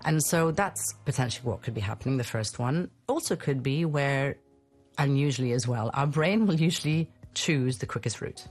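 A woman's voice: a bass voice, animated, normal speed, darkish tone. A middle-aged woman life coach talking on a radio show, thoughtful and reassuring, often restarting her sentences. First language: English. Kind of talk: radio talk show conversation.

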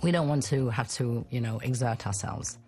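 A woman's voice: very deep voice, normal speed, a little mellow, breathy edge, soft background music. A middle-aged woman life coach talking on a radio show, thoughtful and reassuring, often restarting her sentences. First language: English. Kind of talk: radio talk show conversation.

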